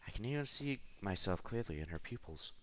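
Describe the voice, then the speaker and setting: A man's voice: on the low side, animated, a little fast, a bit bright, dull audio. A man reading visual novel narration aloud as a streamer, expressively, with casual asides and exclamations.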